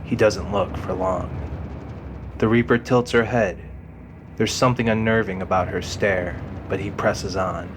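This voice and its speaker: A man's voice: low voice, fairly even intonation, a little slow, slight background noise. A man reading scripted dramatic narration as the narrator of an audio drama. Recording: music behind the voice.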